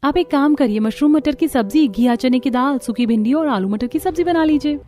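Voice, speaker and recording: A woman's voice: sits high, fairly quick, dark tone, natural voice. A woman voicing a scheming young college-age sister-in-law in a cartoon, falsely sweet and wheedling. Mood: sly, helpful.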